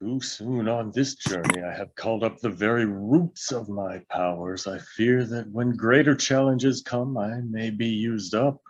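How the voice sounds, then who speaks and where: A man voices a weary old wizard who leads with grave authority. He speaks slowly and solemnly in a fantasy script read, leaving heavy pauses. The sound is slightly dull and muffled. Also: lowish voice, a little hiss or hum, close to an everyday voice.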